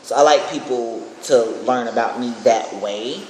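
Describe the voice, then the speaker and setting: A young adult vlogger talking casually to an online audience in a rambling, excitable way. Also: mid-range voice, moderate pace. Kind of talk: casual chat to camera. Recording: echoey room with clear background noise.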